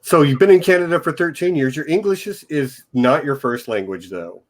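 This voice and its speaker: Relaxed joking male host. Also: on the high side, fairly quick. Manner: relaxed, joking, casual rambling. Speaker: podcast host. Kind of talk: informal interview chat.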